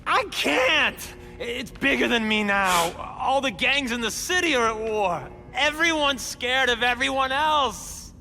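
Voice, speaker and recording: High, jittery game villain. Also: male voice, lively intonation, a little slow, creaky, bright, forceful tone, soft background music, exaggerated voice. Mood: panicked. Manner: stammering, tacking "huh?" onto sentences. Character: jittery, bragging small-time criminal turned supervillain. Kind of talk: video game cutscene acting.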